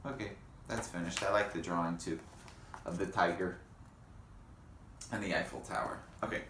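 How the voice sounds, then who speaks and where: A man teaching young children in a read-aloud lesson, speaking in simple, deliberate phrases and putting questions to them. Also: low voice, fairly even intonation, fairly bright tone. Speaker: elementary school teacher. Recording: echoey room with faint background noise.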